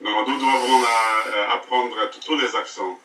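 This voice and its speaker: An American man speaking French as a learner, halting and searching for words. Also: mid-range voice, steady tone, pushed and bright, echoey room, recorded from a distance. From United States. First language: English. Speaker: language learner.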